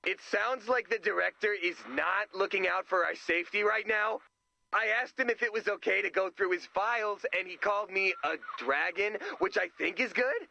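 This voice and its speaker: A man voicing an excitable, naive, nerdy sidekick in a video game, earnest, chatty and goofy. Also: high voice, moderate pace, vocal fry, no echo, highs cut off, full character voice. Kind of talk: video game character voice lines.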